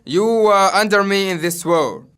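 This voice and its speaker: A woman's voice: medium pitch, unhurried, fairly bright tone, smooth, pitched below the performer's own voice, close to an everyday voice. A woman voicing a stern, commanding male duke who speaks in a formal, accusing way.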